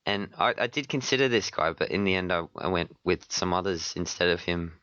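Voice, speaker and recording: A man co-hosting a casual podcast chat, sick and partly hoarse, talking with hesitant, stammering restarts. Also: low-pitched, a little fast, sharp tone, no room sound, lo-fi sound. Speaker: podcast co-host.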